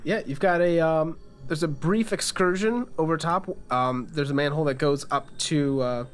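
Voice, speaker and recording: A man's voice: a little high, moderate pace, a little hiss or hum. A man serving as game master, casually improvising narration and rulings for a tabletop game.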